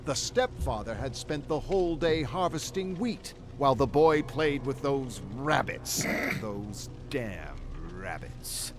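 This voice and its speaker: A man's voice: mid-range voice, very animated, slow, hardly any pauses. A man streaming a game, reading lore passages aloud and breaking off to react casually and swear freely. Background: game music.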